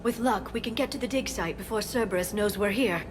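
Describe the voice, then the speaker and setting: A woman's voice: medium pitch, fairly quick, noisy background, natural voice. A woman playing an earnest, scholarly, hopeful alien scientist in a video game. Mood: earnest, focused. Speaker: scientist and expert.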